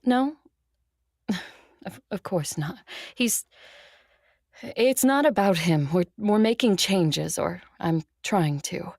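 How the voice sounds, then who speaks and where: An ancient vampire ruler in an audio drama, haughty and wry. Her husky voice sits a little low and moves very slowly and deliberately, close and dry over faint music. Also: lively intonation, darkish tone, dry recording, full character voice. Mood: hesitant, wry. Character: imperious two-thousand-year-old female vampire ruler, wry, guarded. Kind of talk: audio drama acting, first-person narration and dialogue.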